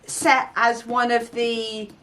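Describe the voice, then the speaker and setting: A woman's voice: a little high, level tone, slow pace, pushed and bright, a little hiss or hum. A young woman in a casual, conversational book chat, co-hosting a vlog.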